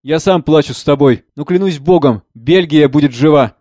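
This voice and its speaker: A man's voice: a little high, normal speed, warm tone, dry recording, close to an everyday voice. A man playing an impassioned, solemn father in a stage drama, declaiming with emphatic repetition. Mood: fervent, grieving. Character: impassioned father, consoling visionary, solemn and fervent.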